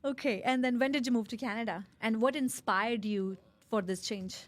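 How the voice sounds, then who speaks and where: A woman's voice: on the high side, moderate pace. Effusive woman hosting an interview show. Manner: effusive, encouraging. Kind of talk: upbeat hosted interview.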